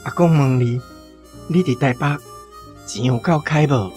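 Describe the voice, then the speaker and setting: A man's voice: medium pitch, measured pace, a little mellow, faint music behind, natural voice. A man playing a doting old village grandfather in a radio drama, fussing caringly with a Taiwanese accent. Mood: tender concern.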